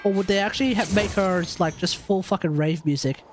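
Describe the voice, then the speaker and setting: A man's voice: high-pitched, brisk, a little hiss or hum. A man chatting casually as a game streamer, rambling and searching for words, with some casual swearing. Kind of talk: casual livestream chat.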